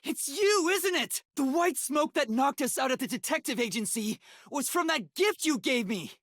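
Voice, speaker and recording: A man playing an earnest, timid young detective trainee in an English video game, with a soft, boyish, slightly breathy voice and a hesitant, polite delivery. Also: very high-pitched, animated, normal speed, no echo, studio quality. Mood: accusing, urgent.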